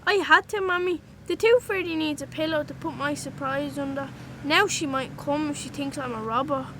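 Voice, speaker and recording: A high, bright child's voice with an Irish accent, heard over faint noise in a radio play. This little girl is innocent and cheeky, speaking with earnest childlike candour. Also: normal speed. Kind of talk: radio drama acting.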